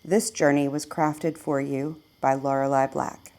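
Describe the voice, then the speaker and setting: A woman reading a scripted guided meditation in a calm, measured, hushed way, as a narrator leading the listener. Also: extremely low pitch.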